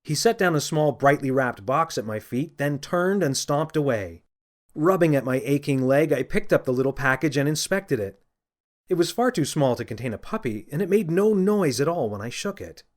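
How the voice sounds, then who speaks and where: A man narrating a scripted children's story in the first person with an expressive, dramatic delivery. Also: fairly high pitch, moderate pace. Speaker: author narrating own audiobook.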